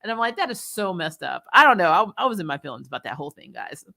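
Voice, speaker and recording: A woman hosting a casual, opinionated video review, talking candidly to viewers and retelling things in an animated, mildly sweary way. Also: lowish voice, fast, gravelly. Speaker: video host reviewing a TV episode.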